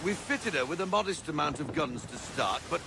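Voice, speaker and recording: An actor playing a hearty, seasoned sea captain and mentor in a video game, talking like a salty, commanding sailor. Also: male voice, fairly high pitch, lively intonation, brisk, noisy background. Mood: matter-of-fact. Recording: loud orchestral game music behind.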